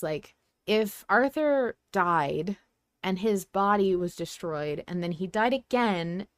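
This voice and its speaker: A woman's voice: on the low side, dry recording, studio quality. A woman hosting a podcast, talking casually and speculatively as she thinks aloud and hedges her points. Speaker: podcast host.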